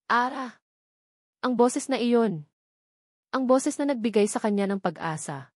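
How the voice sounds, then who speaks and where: A woman giving scripted narration of a romance story, reading in an even, measured way. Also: on the high side, dry recording, clean studio sound.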